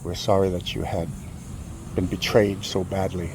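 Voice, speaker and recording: A man telling a true crime story informally on location, in halting, dramatic short phrases. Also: low voice, flat delivery. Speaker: host of a cemetery exploring channel. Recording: clear vehicle and ambient noise.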